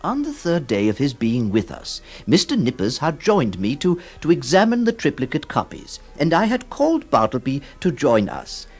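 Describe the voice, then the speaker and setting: A man's voice: a little high, huge pitch swings, normal speed, hardly any pauses, soft background music, heavily acted. An actor playing a flustered, hesitant lawyer who stammers, also narrating his story in a radio drama. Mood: reflective. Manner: stammering, exasperated, theatrical.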